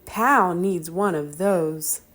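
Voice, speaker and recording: Woman voicing an eager young boy, unhurried. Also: lowish voice, a bit bright, exaggerated voice. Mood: earnest. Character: earnest young boy aardvark, eager schoolkid.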